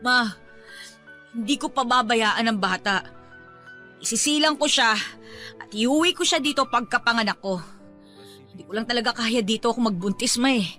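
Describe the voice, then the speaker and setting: A woman's voice: a little high, normal speed, long pauses, pushed and bright, faint music behind. A woman with a Filipino accent playing a distraught, defiant pregnant daughter in a radio-style drama, emotional and emphatic. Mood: resolute, pleading.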